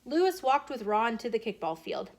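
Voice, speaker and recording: A woman reading a children's story aloud in an animated, expressive way, addressing young listeners directly. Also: a little high, normal speed. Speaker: storyteller host. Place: bookshop, with ambient noise. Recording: clear background noise.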